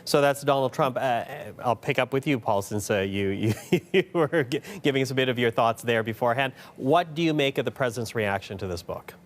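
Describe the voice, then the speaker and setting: A man's voice: medium pitch, fairly quick, few pauses. A man hosting a live news panel, putting questions to guests. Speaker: news show host.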